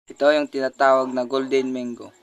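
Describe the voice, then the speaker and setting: Guy doing friendly puppet lizard, super flat pitch, sounds recited. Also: a little fast, clean tone, lo-fi sound, close to an everyday voice. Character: friendly monitor lizard bringing news to his friends. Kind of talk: puppet show acting.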